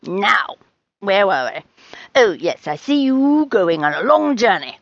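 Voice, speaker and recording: A woman's voice: mid-range voice, big pitch swings, a little slow, no room sound, highs cut off. A woman playing an eccentric, brusque old woman who tells fortunes in a storybook, with theatrical, mysterious pronouncements. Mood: mystical.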